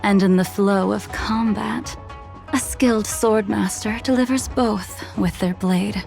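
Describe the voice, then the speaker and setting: Game dub role: aloof swordswoman, unhurried, gravelly creak, formal and cryptic. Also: mid-range voice, steady tone, darkish tone, soft background music. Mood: composed, appraising. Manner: measured, formal, composed. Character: calm, aloof blind swordswoman, cryptic and knowing. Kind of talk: English video game dub acting.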